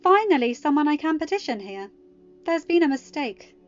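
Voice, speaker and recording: Lady doing a snooty old queen, shrill and high, kinda muffled with music underneath. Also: very animated, normal speed. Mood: indignant. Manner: shrill, indignant, raised voice. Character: haughty aged female monarch, scornful, entitled. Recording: muffled audio with soft music.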